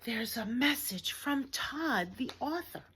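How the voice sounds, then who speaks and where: Woman, teacher reading a storybook to kids, slow pace, lively swooping pitch, hushed asides. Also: a little hiss or hum. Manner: slow, expressive, simple deliberate phrasing. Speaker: teacher reading a picture book aloud to children. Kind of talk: children's storybook read-aloud with chatty asides.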